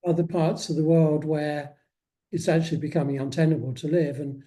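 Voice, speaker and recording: Mellow-voiced man in thoughtful conversation. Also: fairly high pitch, steady tone, fairly quick. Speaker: interviewed author. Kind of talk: reflective conversational interview.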